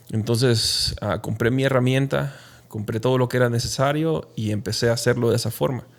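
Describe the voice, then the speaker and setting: A man from Honduras, speaking reflectively and haltingly as an interview guest telling his own story. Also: medium pitch, creaky. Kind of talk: conversational personal storytelling in an interview.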